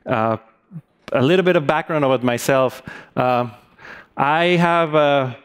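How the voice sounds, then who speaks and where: A man's voice: mid-range voice, unhurried, smooth. A man in his thirties from Mexico with a Mexican Spanish accent, giving a conference talk on a large stage with many hesitant fillers. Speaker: conference speaker.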